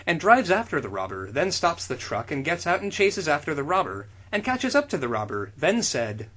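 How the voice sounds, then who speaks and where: A man co-hosting a comedy podcast, reading bad fan fiction aloud in a deadpan way. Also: on the high side, moderate pace, slightly rough. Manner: deadpan reading that breaks into laughter. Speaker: podcast co-host.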